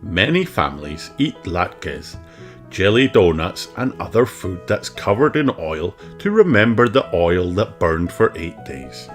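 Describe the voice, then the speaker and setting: A storyteller reading a children's picture book aloud in an expressive, animated way. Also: deep voice, a little slow, faint music behind. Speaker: audiobook reader.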